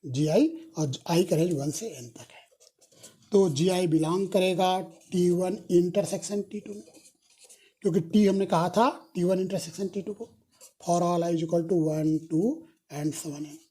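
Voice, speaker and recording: A woman lecturing on mathematics, slowly dictating each proof step and repeating key phrases, correcting herself when she slips. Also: lowish voice, warm tone, slightly rough. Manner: slow, repetitive dictation of proof steps; self-correcting, apologetic on slips. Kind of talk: recorded university lecture, mixing Hindi and English.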